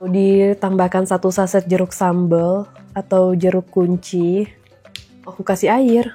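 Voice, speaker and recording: A woman's voice: on the low side, steady tone, unhurried, mellow, breathy edge, soft background music. A woman in her thirties with a stuffy, nasal voice from a cold, chatting to viewers as she cooks at home. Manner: chatty, addresses viewers often. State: recovering from flu. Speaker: vlogger and mother. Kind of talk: casual cooking vlog.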